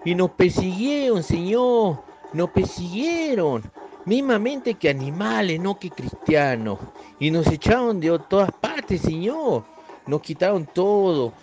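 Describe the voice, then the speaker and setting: A man's voice: high-pitched, big pitch swings, slow pace, muffled recording, heavily acted. A man voicing an aging, bitter male football fan with a northern Argentine accent, reading a short story aloud and calling the listener "señor". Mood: bitter, nostalgic. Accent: northern Argentine (put on).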